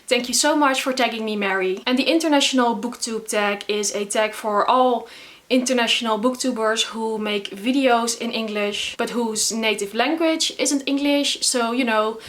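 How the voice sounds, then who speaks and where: A young Dutch woman with a Dutch accent, talking casually to camera in English, often pausing with fillers. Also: on the high side, a bit bright. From the Netherlands. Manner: chatty, frequent filler words and restarts. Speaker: booktuber. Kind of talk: casual vlog talk to camera.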